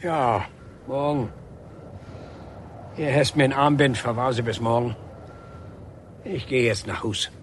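An actor playing a stubborn, quietly defiant painter, calm and dry, in a radio drama. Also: male voice, mid-range voice, slow, long pauses, slight background noise, natural voice.

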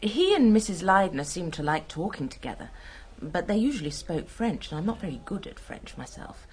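Confiding English nurse, lowish and lively. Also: female voice, moderate pace, husky, exaggerated voice. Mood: thoughtful, candid. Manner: confiding, hesitant, then frank. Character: plain-spoken, gossipy but proper woman nurse. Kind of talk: radio drama acting.

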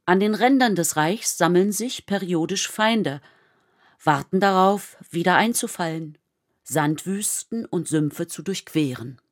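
A woman narrating a historical radio play in a measured, composed, literary manner. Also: lowish voice, animated.

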